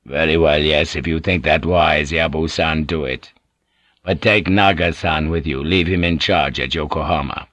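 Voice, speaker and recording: A man reading aloud, voicing a powerful, world-weary warlord in a low, measured, resigned way. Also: fairly even intonation, fairly bright tone, no room sound. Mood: calm command.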